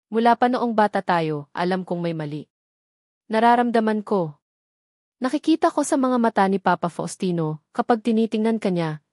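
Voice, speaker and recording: A woman's voice: medium pitch, a little mellow, no echo, studio quality, lightly acted. A woman voicing a loving, remorseful older sister's farewell letter in an audio story, earnest and confessional. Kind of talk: scripted audio story drama.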